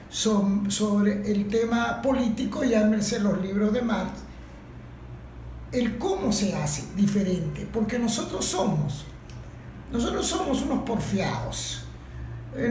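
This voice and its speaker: Slow-spoken Venezuelan woman in echoey room. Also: on the high side, dark tone. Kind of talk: spontaneous political discussion. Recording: echoey room, faint background noise.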